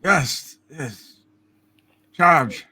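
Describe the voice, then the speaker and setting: A man's voice: fairly high pitch, animated, extremely slow, sharp tone, breathy edge, exaggerated voice. A man voicing a grieving, short-tempered older druggist who barks his lines in an amateur radio play reading. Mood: irritable, angry.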